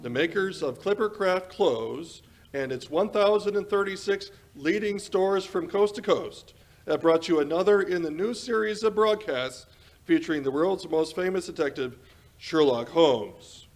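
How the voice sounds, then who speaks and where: A man's voice: high voice, animated, a little slow. A man playing a genial, formal 1940s radio announcer with a polished scripted delivery. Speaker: announcer and host. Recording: music under the voice.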